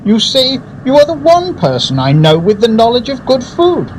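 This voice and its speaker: A man's voice: very high-pitched, wildly swooping pitch, normal speed, slight background noise, exaggerated voice, low-quality recording. An actor playing an earnest, effusive advertising man and gourmet in a radio drama, speaking in a grandiose, theatrical way. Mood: earnest, wistful.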